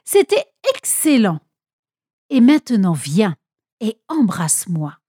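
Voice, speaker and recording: A woman's voice: medium pitch, big pitch swings, slow pace, dark tone, breathy edge, no echo, studio quality, heavily acted. A woman voicing a solemn, declamatory old father in a storybook Bible tale. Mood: pleased, solemn. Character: old father Isaac, solemn patriarch, later horrified.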